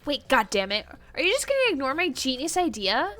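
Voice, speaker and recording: A brash young genius girl, loud and boastful, throws insults as she talks, as a woman puts her on. The voice is high and bright and swings wide in pitch. It has a nasal edge. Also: very animated, brisk, faint music behind. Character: crude arrogant young woman genius inventor.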